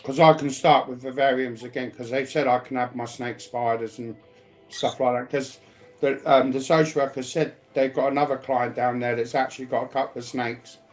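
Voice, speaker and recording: A man's voice: medium pitch, steady tone, moderate pace, faint music behind, low-quality recording. A man talking in a casual, rambling, colloquial way, often repeating himself. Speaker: speaker sharing personal life news.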